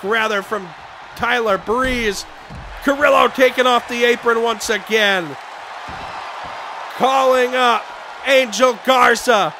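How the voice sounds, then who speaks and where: A man losing his voice, shouting excited play-by-play for a wrestling video game. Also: very high voice, creaky. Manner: shouting excitedly over the action. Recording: game crowd noise behind voice. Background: video game arena crowd and sound effects.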